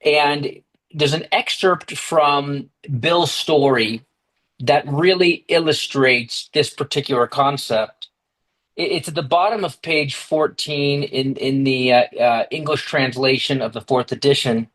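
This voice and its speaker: A man's voice: a little high, unhurried, fairly bright tone, low-quality recording. An American man from the United States speaking earnestly and steadily at an online recovery meeting, with small restarts, heard over a video call. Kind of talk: personal recovery talk.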